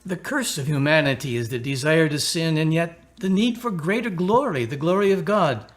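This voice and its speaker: Man playing an earnest, kindly priest, higher-pitched voice. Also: animated, moderate pace, lightly acted. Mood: earnest preaching. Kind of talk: radio drama acting.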